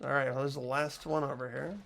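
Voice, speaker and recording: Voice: mid-range voice, flat delivery, a little fast, creaky, a bit bright. A game player chatting casually and jokingly during a playthrough with friends. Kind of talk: casual gaming chat. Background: faint game music.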